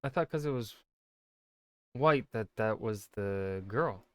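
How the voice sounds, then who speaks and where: A man's voice: fairly low pitch, expressive, slow, a bit bright. A man giving casual reaction commentary as a video host, exclamatory and wry, sometimes sweary.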